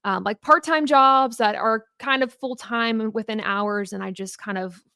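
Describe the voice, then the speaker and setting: A woman's voice: on the high side, a little slow, bright, forceful tone, clear, resonant tone, no echo, studio quality. A young woman talking about her career as a podcast guest, upbeat and chatty with many "like"s. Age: young adult. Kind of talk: casual interview chat.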